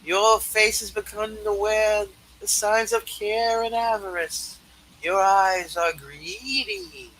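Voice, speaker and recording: A performer voicing a solemn, probing spirit of Christmas past in a measured, portentous amateur play reading. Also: mid-range voice, a bit bright, a little hiss or hum, poor audio.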